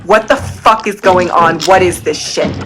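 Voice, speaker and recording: A woman's voice: on the low side, unhurried, background noise, lightly acted. A woman playing a frank teenage girlfriend in an audio drama. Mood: panicked. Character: frank teenage girlfriend, affectionate but easily alarmed.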